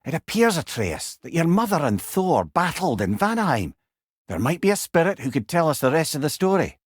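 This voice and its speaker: A man's voice: mid-range voice, dry recording, studio quality. An actor playing a wise, witty old Scottish man, an avuncular storytelling companion in a video game, speaking in an articulate, wry way. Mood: informative. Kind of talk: scripted video game voice lines.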